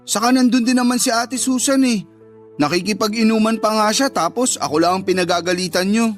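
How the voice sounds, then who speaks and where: A high-voiced Filipino teenage boy acted by a man, respectful yet defensive and pleading, as in a radio drama. Soft music runs underneath. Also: brisk, lightly acted. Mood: defensive, sheepish. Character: teenage student son, respectful but defensive. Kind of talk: Tagalog radio drama acting.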